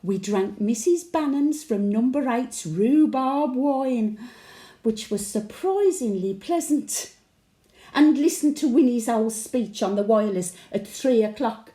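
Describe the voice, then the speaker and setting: A woman's voice: on the high side, lively intonation, unhurried, mellow, roomy sound. A woman playing a cheeky, wistful elderly market-trader widow with a Birmingham accent, telling her tales in a chatty, colloquial audio monologue. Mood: chatty, reflective. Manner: colloquial storytelling with dry chuckling asides. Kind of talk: audio monologue, chatty diary addressed to late husband.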